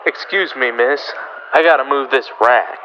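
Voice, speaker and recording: A man playing a brisk, businesslike workman in radio comedy style. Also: mid-range voice, sharp tone, a little hiss or hum, rough recording. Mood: busy, directing. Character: brisk, businesslike workman moving store racks.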